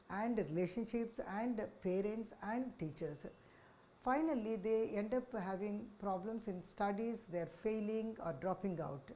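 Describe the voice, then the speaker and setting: A woman in her sixties with an Indian accent, speaking as a senior psychiatrist in a measured, explanatory talk to camera. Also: medium pitch, dark tone, a little hiss or hum, low-quality recording. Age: 60s. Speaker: senior child psychiatrist, head of department. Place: small office, indoors. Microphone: lapel microphone.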